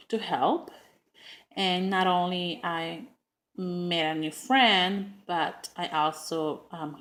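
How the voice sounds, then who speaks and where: A middle-aged woman with a Spanish accent giving a prepared class speech, earnest and with frequent ums and uhs. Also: lowish voice.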